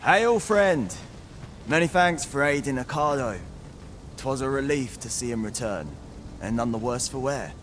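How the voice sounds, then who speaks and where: Town guard for a fantasy game, played by a man: earnest, courteous, medium pitch, ambient noise behind. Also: expressive, a little slow, lightly acted. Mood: relieved. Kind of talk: scripted fantasy game dialogue, archaic English.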